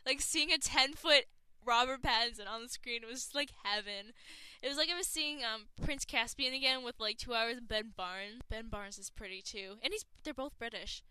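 A young woman co-hosting a podcast in casual chat, talking quickly with lots of fillers and restarts. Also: on the high side, bright, forceful tone, clean tone, dry recording, rough recording. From Boston area, USA. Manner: chatty, many fillers and restarts. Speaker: podcast co-host.

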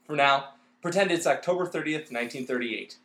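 A male host delivers scripted narration like an announcer. His voice sits slightly high, and the room adds clear echo. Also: fairly quick, a bit bright. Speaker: podcast host.

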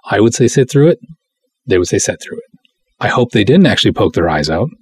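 Male audiocast host, lowish husky voice, dry close recording; wry conversational delivery with mock-dramatic readings. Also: very animated, brisk, a little mellow, dry recording. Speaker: host, film director. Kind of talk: solo audiocast monologue, reading reviews aloud with commentary.

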